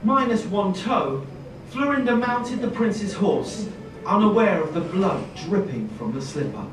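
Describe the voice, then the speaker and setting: An actor playing the narrator of a dark fairy tale film, reading scripted storybook lines. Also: male voice, sits high, animated, measured pace, recorded from a distance, background noise. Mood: grave storytelling. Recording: echoey film playback from afar, music.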